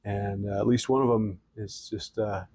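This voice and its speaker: A man's voice: fairly low pitch, fairly even intonation, moderate pace, a little mellow, breathy edge, no room sound. Joking man in his thirties, dry studio. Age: 30s. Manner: joking, teasing, laughs often. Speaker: podcast co-host. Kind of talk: casual chat.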